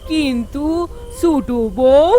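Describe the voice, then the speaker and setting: Casting: wronged, dutiful elder daughter-in-law, fairy-tale cartoon female actor, soft, plaintive, high, unhurried. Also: soft background music. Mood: sad, tearful.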